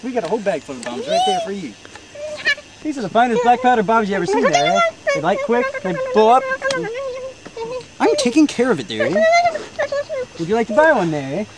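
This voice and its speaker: A woman's voice: fairly high pitch, wildly swooping pitch, brisk, long pauses. A woman voicing a chatty, hot-tempered female bomb shopkeeper, who tags "there, eh" onto her lines. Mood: salesy. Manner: scolding, threatening. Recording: loud background noise.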